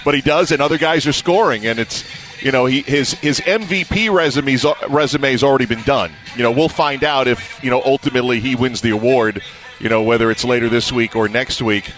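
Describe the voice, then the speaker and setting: A male sports announcer talks through his analysis as a radio guest. His voice sits at a middle pitch, and he speaks in a loose, conversational way. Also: fairly quick, fairly bright tone.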